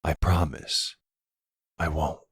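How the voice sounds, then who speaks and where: A man playing a composed, protective mafia boss boyfriend in an audio roleplay, measured and earnest with a deep, husky voice. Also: level tone, a bit bright, slight background noise, heavily acted. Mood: assured. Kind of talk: ASMR audio roleplay drama.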